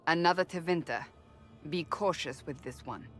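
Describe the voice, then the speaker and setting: A woman's voice: sits low, normal speed, a bit bright, faint music behind. A woman playing a stern, suspicious warrior in a video game, with a severe, formal manner. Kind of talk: video game dialogue acting.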